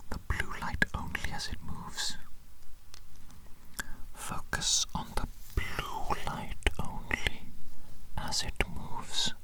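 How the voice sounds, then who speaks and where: An ASMR performer voicing a sinister, doting evil doctor in a breathy whisper, repeating phrases deliberately in a medical roleplay, close to the microphone. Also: natural voice. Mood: intense, commanding. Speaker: ASMR performer playing an eye examiner.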